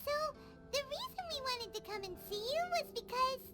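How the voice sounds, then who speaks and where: A woman voicing a tiny excitable, cheeky fairy girl who exclaims freely in a video game cutscene. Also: very high voice, moderate pace. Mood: cheerful, eager. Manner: speaks of herself in third person, exclamatory. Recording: loud game music behind.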